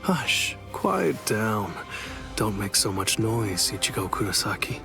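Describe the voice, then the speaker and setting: An actor in an English anime game dub playing a cocky, teasing masked Soul Reaper with a sardonic, theatrical delivery. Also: male voice, fairly low pitch, sing-song, takes it slowly, vocal fry, exaggerated voice. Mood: smug, taunting. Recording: music behind the voice.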